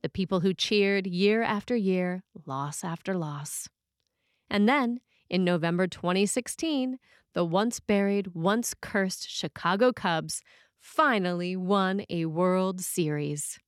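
A woman reading a scripted sermon as a preacher, telling stories in measured, deliberate phrases with dramatic pauses. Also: on the low side, smooth, no echo, studio quality.

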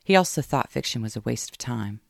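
Subdued, searching woman novelist, voiced by the narrator, gravelly, close and dry. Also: medium pitch, lively intonation, a little fast, no room sound. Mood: weary. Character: lost, unsure woman writer.

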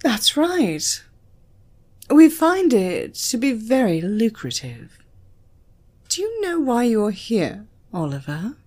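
Audiobook role: confident British woman lecturer, acted by a narrator, amused, commanding, slow and lilting. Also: a little high, huge pitch swings, a little mellow, heavily acted. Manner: unhurried, matter-of-fact, teasing. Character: bold, amused, commanding female university lecturer.

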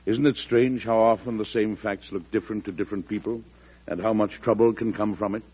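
An actor with an American accent playing a folksy, firm president in a 1940s radio drama, speaking in a conversational, deliberate way. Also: male voice, fairly low pitch, steady tone, breathy edge. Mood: genial, confiding. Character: folksy, firm American president telling his own story. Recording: muffled vintage radio recording.

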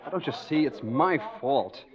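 A man's voice: medium pitch, animated, unhurried, a crowd in the background, natural voice. An actor playing a cocky, scheming American tough guy in a hard-boiled, slangy 1940s radio thriller. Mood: urgent, feigned remorse. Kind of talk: 1940s radio thriller acting and first-person narration. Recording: dull 1940s radio recording with music.

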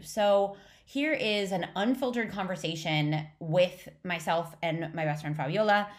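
Upbeat woman host in her thirties. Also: on the low side. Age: 30s. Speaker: podcast host and nutrition coach. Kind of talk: casual chat with a friend.

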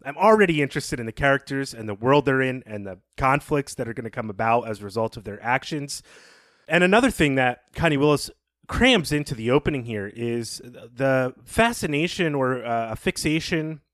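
A man's voice: medium pitch, animated, brisk, a bit bright, dry recording, clean studio sound. A man co-hosting a podcast, giving conversational literary commentary in an appreciative, thoughtful, analytical way. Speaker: podcast co-host.

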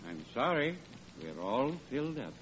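An actor playing a brisk, busy hotel desk clerk in old radio comedy style. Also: male voice, mid-range voice, very animated, close to an everyday voice. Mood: brisk, officious. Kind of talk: 1940s radio comedy acting. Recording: muffled old radio broadcast, faint noise.

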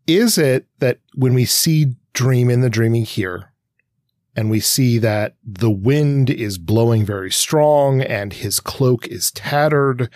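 A man's voice: medium pitch, takes it slowly, a little mellow, no room sound, clean studio sound. A man co-hosting a conversational literary discussion, posing long-winded, analytical questions. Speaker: podcast co-host.

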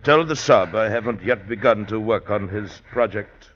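An actor playing a hesitant, courteous, mild Sikh scholar educated at Cambridge, in old-time radio drama style. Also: male voice, fairly low pitch, moderate pace, fairly bright tone. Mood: polite, apologetic. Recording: muffled old radio recording.

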